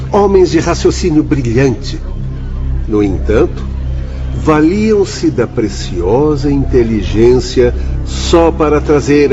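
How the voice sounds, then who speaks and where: An actor in a Brazilian radio drama playing an earnest, morally firm spirit doctor who explains things in measured, formal speech with a Brazilian accent. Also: male voice, medium pitch. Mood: grave, firm. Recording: dull lo-fi audio, faint music.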